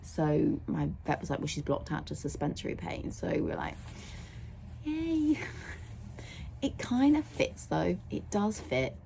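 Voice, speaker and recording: A young woman with a croaky voice, chatting casually in a rambling way as she vlogs about her horse. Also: deep voice, mellow, a little hiss or hum. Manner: chatty and rambling, laughs often. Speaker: vlogger, horse owner. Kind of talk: casual vlog chat. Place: outdoors at a stable yard, then in a car.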